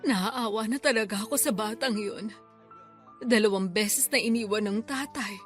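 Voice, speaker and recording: A woman's voice: medium pitch, moderate pace, faint music behind, close to an everyday voice. An actress playing a tearful, grieving elderly grandmother in a Filipino-accented Tagalog radio drama. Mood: sorrowful, worried. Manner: tearful, emotional delivery.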